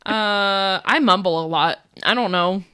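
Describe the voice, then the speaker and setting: A young adult woman co-hosting a podcast in casual chat. She talks loudly, laughs often and fills her talk with "like" and "um". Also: mid-range voice, a little slow, clear, resonant tone, no echo. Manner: loud, laughs often, chatty with fillers. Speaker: podcast co-host. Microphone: podcast microphone.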